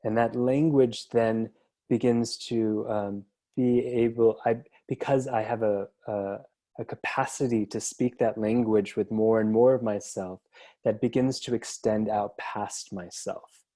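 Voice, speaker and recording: A man with a mellow, fairly low voice moderates a panel over a video call. He talks thoughtfully and feels his way through his ideas, often restarting a phrase. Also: steady tone, unhurried. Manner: thoughtful, searching, many restarts. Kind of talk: reflective panel discussion. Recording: online video call audio.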